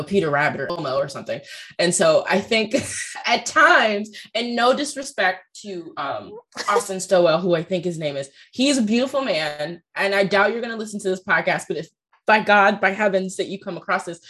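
Female podcast host, naturally deep register, casual and chatty, conversational questions that wander. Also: a bit bright. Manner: chatty, informal, poses rambling questions.

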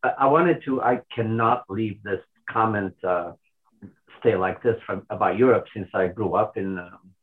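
Older man on a phone line. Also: fairly low pitch. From Portugal. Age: 60s. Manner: thoughtful, with many pauses and restarts. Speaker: caller. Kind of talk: casual call-in chat.

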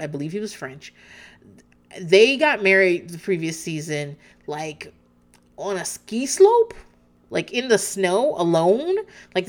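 Chatty lower-voiced woman swearing freely. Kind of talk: casual reality TV recap chat.

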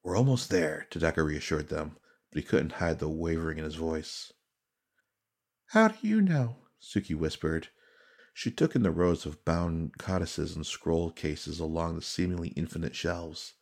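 Deep gravelly man narrating dark fantasy. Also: level tone. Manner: solemn, measured, suspenseful. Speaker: audiobook narrator. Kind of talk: dramatic scripted fantasy narration.

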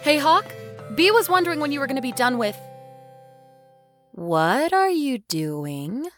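A woman's voice: sits high, big pitch swings, a little slow, faint music behind. An actress playing a stern, exasperated woman in an audio drama, firm and reproving like the responsible one. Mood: suspicious.

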